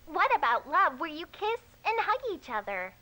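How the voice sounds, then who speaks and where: A woman's voice: sits high, very animated, normal speed, pushed and bright, full character voice, rough recording. A woman voicing an innocent, inquisitive little girl puppet in a naive, wide-eyed, childlike way. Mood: curious. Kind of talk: children's puppet show parody.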